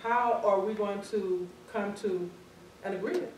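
A woman's voice: medium pitch, steady tone, a little slow, a little mellow. A woman leading a group Bible class discussion as the teacher. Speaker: Sabbath school teacher. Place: church meeting room, standing, far camera. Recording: echoey room, distant mic, faint noise.

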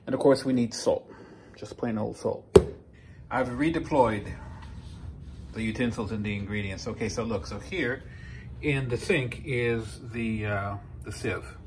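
A man in his fifties from Peru talks through a recipe at a medium pitch, chatty and explanatory, often pausing with "uh" and correcting himself. He is recorded on a phone in his home kitchen, with clear background noise under his voice. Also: darkish tone. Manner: explanatory, conversational, with frequent fillers and self-corrections. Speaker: home cooking channel host. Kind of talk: step-by-step cooking demonstration.

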